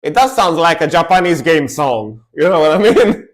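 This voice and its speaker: A man's voice: a little high, very animated, normal speed. Chatty man reacting to metal songs. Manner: chatty, loose, hums and mimics riffs. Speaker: music reaction streamer. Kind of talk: casual reaction commentary.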